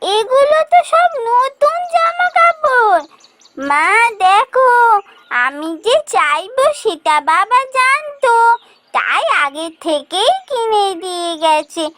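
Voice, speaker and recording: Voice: extremely high pitch, big pitch swings, slow, bright, forceful tone, exaggerated voice. An actor voicing a stubborn, eager little village girl in a cartoon, with a whining, insistent way of talking. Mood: delighted. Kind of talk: Bengali fairy-tale cartoon dialogue.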